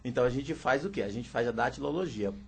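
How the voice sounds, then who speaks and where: Gravelly-voiced man explaining. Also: medium pitch, fast. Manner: explanatory, steady, frequent "né". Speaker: sign language teacher and interpreter. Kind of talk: radio interview answers.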